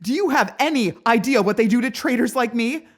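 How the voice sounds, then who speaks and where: A woman's voice: a little high, animated, fairly quick, voice pushed up. A woman voicing a hot-tempered young woman fighter in a fantasy audiobook, panicked and furious, with a shaky voice that cracks. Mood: panicked, hysterical. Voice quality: shaky, cracking when upset. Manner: frantic, dramatic outbursts. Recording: background music behind the voice.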